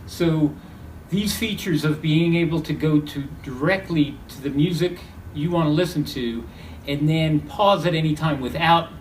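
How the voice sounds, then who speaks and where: A man demonstrates a product in a somewhat high voice. He sounds a little way from the microphone in an echoey room, with slight noise behind him. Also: measured pace. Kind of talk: spoken product demo. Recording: roomy, distant mic, faint noise.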